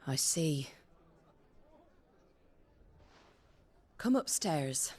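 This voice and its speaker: An actress playing a kindly but blunt, weary innkeeper in a video game, plain-spoken and colloquial with a British accent. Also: female voice, sits low, sing-song, takes it slowly, darkish tone, exaggerated voice. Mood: low, grave. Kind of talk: video game drama acting. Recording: music behind the voice.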